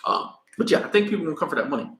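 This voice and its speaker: A man's voice: on the high side, very animated, racing, a bit bright, roomy sound. Laid-back American man chatting live. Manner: rambling, laid-back, thanks viewers by name. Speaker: vlogger. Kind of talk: casual live-stream chat. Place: in Mexico, traveling.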